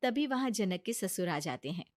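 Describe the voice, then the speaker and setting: A woman's voice: mid-range voice, expressive, normal speed, no room sound, clean studio sound. A woman narrator reading a scripted Hindi story in a storytelling style.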